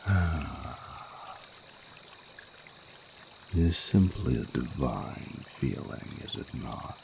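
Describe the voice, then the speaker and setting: A man playing an ancient, regal male dragon in a romantic audio roleplay, speaking formally and seductively with deliberate pauses. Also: rumbling low, animated, creaky, background noise, heavily acted. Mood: flirtatious, inviting. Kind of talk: romantic audio roleplay, intimate monologue to the listener.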